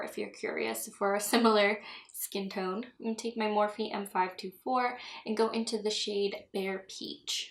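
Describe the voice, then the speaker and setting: A young woman chats through a makeup tutorial at home, giggling and drifting into asides. Her voice sits at an ordinary pitch for a woman and sounds a little stuffy from a cold. The room gives it a noticeable echo. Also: vocal fry. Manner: chatty, giggly, rambling asides. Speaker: beauty vlogger. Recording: echoey room.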